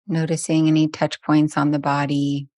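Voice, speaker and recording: A woman leading a guided meditation as a teacher, speaking gently and soothingly with long, unhurried pauses. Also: deep voice, monotone, no echo.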